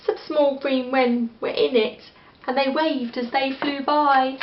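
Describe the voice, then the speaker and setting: A woman's voice: high-pitched, steady tone, takes it slowly, slight background noise. Englishwoman reading in an echoey room. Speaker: storybook reader. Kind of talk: expressive children's story reading. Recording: echoey room, slightly dull sound.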